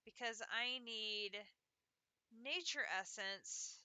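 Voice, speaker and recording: Congested woman streamer with a bright voice. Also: a little high, takes it slowly, smooth. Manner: chatty, walks viewers through her progress. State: congested from allergies. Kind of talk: casual live game commentary.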